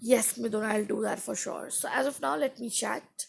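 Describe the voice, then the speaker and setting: Woman teacher on a live stream, kinda high voice, raspy and husky, talks slow and keeps rewording stuff, voice a bit off today. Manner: slow, halting, stops to rephrase her own sentences. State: voice not at its best. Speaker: English teacher. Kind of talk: casual live stream chat with viewers.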